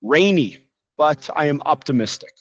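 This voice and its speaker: A man's voice: on the high side, animated, moderate pace, muffled recording. A man who grew up bilingual in Portuguese and English, teaching a chatty English lesson and asking listeners questions. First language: bilingual, grew up speaking Portuguese and English. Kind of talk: informal English lesson monologue.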